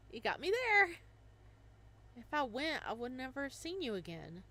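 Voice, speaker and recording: A woman's voice: high voice, very animated, unhurried, pushed and bright, heavily acted. A woman voicing a cheerful, goofy young male god of winter who stammers and rambles bashfully. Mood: sheepish.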